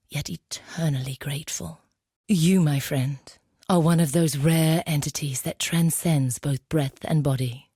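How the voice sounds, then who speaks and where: A woman's voice: dry recording, clean studio sound, heavily acted. A woman voicing a serene, morbid necromancer in a video game, speaking in a formal, theatrical way with reverence for death. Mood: reverent. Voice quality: low, dark, breathy, often sinking to a whisper. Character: serene, morbid female necromancer and self-styled death artist. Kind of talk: video game character monologue.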